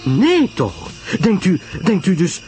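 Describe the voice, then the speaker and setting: A man voicing an excitable society woman in an audio drama, speaking breathlessly and haltingly in agitation. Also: high-pitched, soft background music, pitched above the performer's own voice, exaggerated voice. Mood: eagerly excited.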